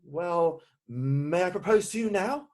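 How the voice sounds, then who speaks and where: Guy acting flustered gentleman in love, kinda high voice, stammers and hesitates, play reading on a call. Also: animated, slow pace. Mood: ardent, flustered. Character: nervous, ardent young gentleman suitor. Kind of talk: amateur play read-through. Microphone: video call.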